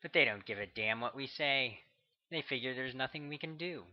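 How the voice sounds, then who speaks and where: A man's voice: medium pitch, moderate pace, lightly acted. A man voicing a cynical female federal agent who speaks in a dry, knowing, matter-of-fact way, on a slightly muffled audiobook recording.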